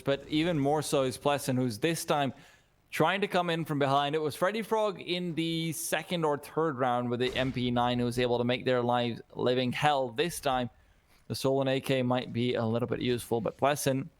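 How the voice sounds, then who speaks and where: A man casting a live esports match, English not his first language, energetic and jokey, laughing often. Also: mid-range voice, fairly quick. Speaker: esports caster. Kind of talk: live play-by-play commentary.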